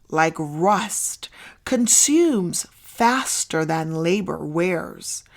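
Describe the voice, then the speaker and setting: A woman narrating an old essay as a scripted audiobook, with deliberate, emphatic phrasing and frequent pauses. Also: mid-range voice. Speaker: narrator reading an essay aloud.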